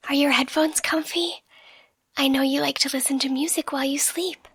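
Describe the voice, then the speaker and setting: A woman voicing an affectionate catgirl girlfriend in a soft, breathy ASMR bedtime roleplay, gentle and reassuring. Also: sits high, fairly even intonation, dry recording, clean studio sound. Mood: tender. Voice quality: soft, breathy, often whispered. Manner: tender, gentle, unhurried, reassuring. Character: affectionate catgirl girlfriend soothing her partner to sleep. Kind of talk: ASMR bedtime roleplay and slow storybook reading. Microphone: close microphone. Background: soft music.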